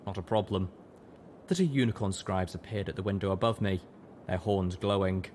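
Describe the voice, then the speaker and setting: A man's voice: deep voice, a little fast, a little mellow, slight background noise. A man reading scripted first-person story narration as an audiobook narrator. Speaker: audiobook reader, show narrator.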